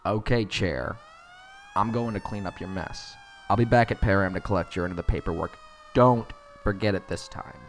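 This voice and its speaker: A man's voice: fairly low pitch, lightly acted. A man playing a cocky, world-weary police detective in a cyberpunk audio roleplay, sarcastic and needling in his delivery. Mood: teasing, cocky. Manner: sarcastic, taunting, casual drawn-out jabs. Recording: clear background noise, sirens and street ambience.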